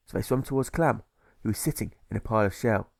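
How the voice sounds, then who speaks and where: A man reading a scripted fable as an audiobook narrator, in a measured, deliberate storybook manner. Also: on the low side, creaky, no echo, studio quality. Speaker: author reading own audiobook.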